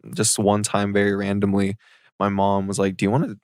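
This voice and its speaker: A young man, a podcast guest who talks in a halting, hesitant way full of restarts. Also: lowish voice, monotone, no echo, studio quality. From Seattle, USA. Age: 20s. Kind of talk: casual podcast chat.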